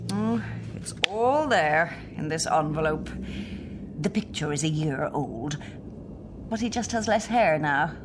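A woman's voice: deep voice, expressive, takes it slowly, background noise. An Irish woman acting as a nervous, hesitant middle-aged wife in a radio play. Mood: worried. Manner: hesitant, polite.

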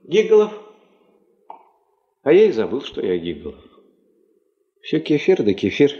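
A folksy, joking old-time prospector in a seventies Soviet radio play. His voice is husky and mellow, swinging widely as he spins mock-grand phrases. The tape sounds a little dull. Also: medium pitch, wildly swooping pitch, measured pace, exaggerated voice. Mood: wry, joking. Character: chatty, wry working man, ex-sailor and prospector.

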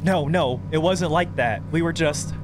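A man's voice: a little slow, over music, natural voice. A man voicing a frightened captive woman from a horror game, pleading desperately. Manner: pleading, higher-pitched. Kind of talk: reading horror game dialogue aloud.

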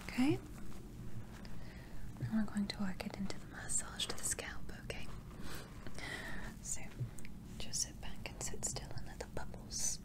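A woman softly whispering a gentle, soothing ASMR roleplay as an attentive barber. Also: medium pitch, lively intonation, measured pace, long pauses, poor audio.

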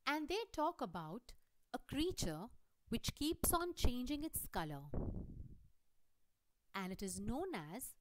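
Slow, expressive woman teacher. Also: a little high, low-quality recording. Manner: slow, clear, expressive reading. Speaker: English teacher. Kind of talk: read-aloud lesson for students.

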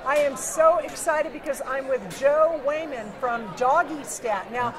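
A middle-aged woman with a high, bright-sounding voice hosts a booth interview, quick and upbeat with short affirmations. A steady crowd murmurs around her lapel-miked voice. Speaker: interview host. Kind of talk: interview hosting. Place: trade show booth set, indoors. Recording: crowd noise behind. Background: crowd chatter.

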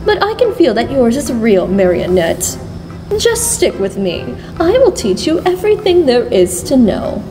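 A woman's voice: on the high side, very animated, measured pace. A woman voicing a flamboyant, obsessive, shameless rich woman in a theatrical cartoon comic dub. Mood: scheming. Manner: gushing, theatrical. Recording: long reverb, music behind.

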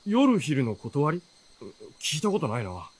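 A man playing an easygoing, teasing young traveler in a Japanese historical fantasy radio drama, with a relaxed, bantering manner. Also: a little high, unhurried, exaggerated voice. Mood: curious, friendly. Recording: music under the voice.

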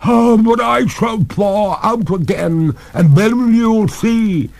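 Man putting on a high, pleading lady ghost. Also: big pitch swings, a little mellow, heavily acted. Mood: threatening. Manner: formal, drawn-out, melodramatic pleading. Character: pleading, despairing lady water ghost, genteel and haughty.

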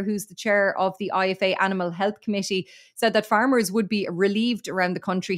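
An Irish woman reporting agricultural news on a podcast in a steady, detailed way with occasional fillers. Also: mid-range voice.

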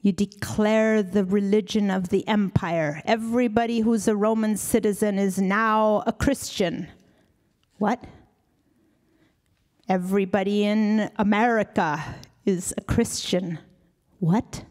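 A middle-aged woman from Argentina answering reflectively as a guest lecturer, earnest and measured, into a handheld microphone on an auditorium stage. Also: mid-range voice, steady tone, a little mellow.

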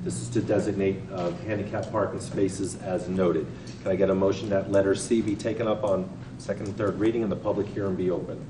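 A man chairing a council meeting, reading procedural motions in a brisk, routine way, recorded in a large council chamber. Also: deep voice, level tone. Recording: echoey chamber, far mic, background noise.

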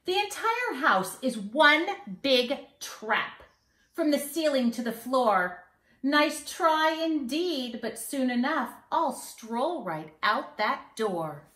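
A woman's voice: high-pitched, very animated, slow, a bit bright, reverberant, voice pushed up, exaggerated voice. A woman voicing a naughty, boastful little old leprechaun in a storybook, taunting in theatrical singsong rhymes. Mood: defiant. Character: naughty, boastful two-hundred-year-old little male leprechaun trickster. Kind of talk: storybook read-aloud. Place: living room at home.

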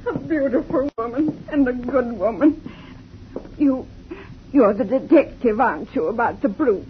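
An actress playing a distraught older spinster friend, fussy and flustered, in a 1950s radio drama. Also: female voice, on the high side, a little slow, slight background noise, natural voice. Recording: dull lo-fi 1950s radio broadcast.

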